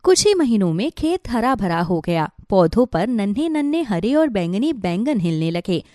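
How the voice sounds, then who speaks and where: A woman narrating a scripted children's story in an expressive storytelling manner. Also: medium pitch, moderate pace, no room sound, studio quality.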